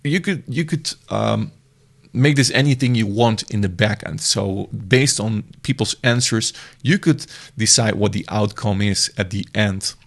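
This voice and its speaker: Man with a gravelly voice, casual and meandering as he walks through software on screen, often restarting mid-sentence. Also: on the low side, a little slow. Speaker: software reviewer. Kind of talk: screen-recorded software demo.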